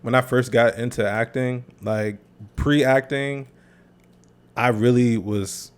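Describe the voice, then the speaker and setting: A man's voice: medium pitch, fairly even intonation, takes it slowly, clean tone. A young man, a guest actor, talking casually and reflectively about his past. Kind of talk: casual chat.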